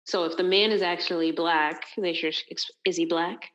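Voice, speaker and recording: A woman co-hosting a casual podcast discussion, probing with pointed questions in a gravelly voice. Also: fairly low pitch, steady tone, moderate pace, a bit bright. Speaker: podcast co-host. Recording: dull lo-fi recording.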